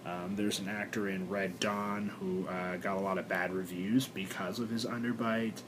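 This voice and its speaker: Stuffy-nosed young man, halting vlog. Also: flat delivery. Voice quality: congested, stuffed-up sound. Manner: halting, lots of fillers and restarts. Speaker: vlogger. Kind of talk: personal video diary. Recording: noisy recording with low rumble.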